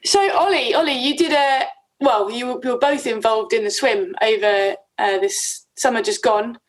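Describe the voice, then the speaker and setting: A woman's voice: fairly high pitch, normal speed, rough recording. A woman interviewing guests in a casual, conversational way, with informal and somewhat hesitant phrasing. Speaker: podcast host, interviewer.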